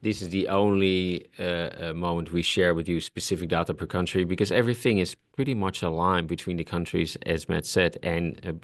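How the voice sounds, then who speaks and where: Dutch-accented man with a low voice. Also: fairly even intonation, brisk, few pauses, dry recording, studio quality. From Netherlands. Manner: direct, earnest, with frequent restarts. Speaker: co-presenter. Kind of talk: webinar presentation.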